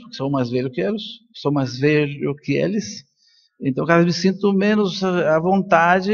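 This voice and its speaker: Older Colombian man, higher-pitched, lecturing. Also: highs cut off. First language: Spanish. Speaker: neuroscientist and professor speaking at a congress. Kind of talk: informal conference lecture with personal anecdotes.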